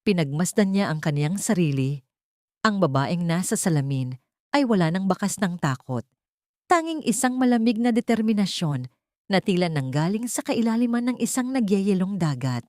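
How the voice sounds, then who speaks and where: A woman's voice: medium pitch, lively intonation, moderate pace, darkish tone, dry recording, clean studio sound. A woman narrating a dramatic scripted story in a solemn, suspenseful way.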